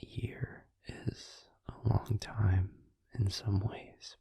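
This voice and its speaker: A hushed, very breathy vampire boyfriend, acted by a man, murmurs low and close in a dry space. He is gentle and tender, soothing his partner in an intimate roleplay audio. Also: flat delivery, no room sound, exaggerated voice. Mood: gentle, understanding. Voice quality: breathy, hushed, mostly whispered. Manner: soothing, tender, slow and reassuring. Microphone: close microphone.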